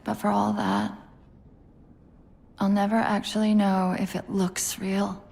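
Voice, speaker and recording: A woman's voice: medium pitch, level tone, slow pace, vocal fry. An actress playing a clever, fiercely loyal female AI who is coming apart, speaking in a precise, articulate way in a video game cutscene. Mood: wistful. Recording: game audio with loud score.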